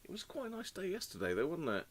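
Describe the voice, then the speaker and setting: Casting: male host, a bit hoarse from a cold, rambling and friendly, greets a live chat one name at a time on a desk mic at home. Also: fairly high pitch, huge pitch swings, fast talker. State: slightly hoarse with a cold and cough. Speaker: host of a live radio repair stream. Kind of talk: casual live-stream chat greeting viewers. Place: home workshop. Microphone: desk microphone.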